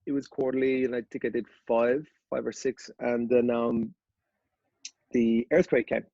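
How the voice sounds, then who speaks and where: A man in his thirties with a Dublin Irish accent, a podcast guest telling his story in a relaxed, rambling way with many fillers and restarts. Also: mid-range voice, moderate pace, gravelly, no echo, dull audio. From Ireland. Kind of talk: casual interview chat.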